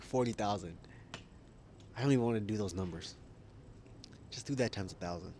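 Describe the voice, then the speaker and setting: A man's voice: medium pitch, darkish tone, slight background noise. A man making a casual opinion vlog as a YouTuber, rambling, thinking aloud and correcting himself, with frequent chuckles. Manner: chuckles often while talking.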